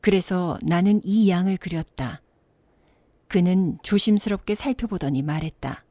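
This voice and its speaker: A woman narrates a children's bedtime story with a soft, mellow timbre. Her voice sits slightly low and she reads in a measured, expressive manner. The recording is dry and close. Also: dry recording.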